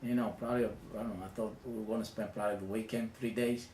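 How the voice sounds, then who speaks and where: A man in his fifties from the Dominican Republic with a Dominican accent, recounting his childhood in a reflective, hesitant way with frequent pauses. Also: on the low side, fairly even intonation, darkish tone. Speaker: interviewee. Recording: echoey room with faint ambient noise.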